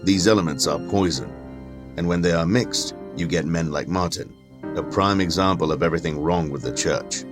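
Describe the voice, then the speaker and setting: Guy voicing a snooty scholarly skeptic, really low voice, formal and lecturing, faint music behind, audio drama. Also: moderate pace, close to an everyday voice. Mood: scornful. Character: haughty, learned skeptic gentleman, argumentative and condescending. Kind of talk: dramatic audio fiction acting.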